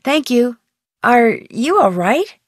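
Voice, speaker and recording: A woman's voice: a little high, big pitch swings, slow pace, no room sound, clean studio sound, heavily acted. A woman voicing a curious, polite young amateur detective in a video game, asking earnest questions. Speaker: player character.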